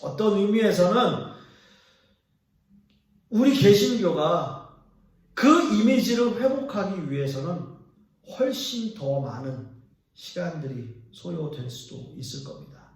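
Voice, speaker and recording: A male pastor preaching a sermon, his voice high and full of lively rises and falls. He speaks with earnest pauses, lifting some lines and dropping others to a hush. He sounds set back from the mic in a church sanctuary, where the voice rings with a heavy echo. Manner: earnest preaching with pauses, swinging between raised and hushed lines. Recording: echoey church hall from a distance.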